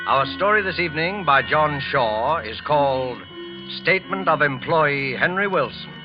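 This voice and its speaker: A man's voice: on the high side, lively intonation, lightly acted. Guy as sinister formal radio host, theatrical old 1940s announcer, music behind, muffled sound. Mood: ominous, suave. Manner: measured, suave, theatrical. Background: dramatic music.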